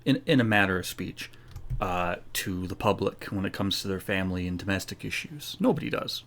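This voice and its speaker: A man streaming a video game playthrough. He talks casually and with plenty of slang, reacting out loud to what the characters say as he goes. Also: deep voice, a little fast, vocal fry, a little hiss or hum.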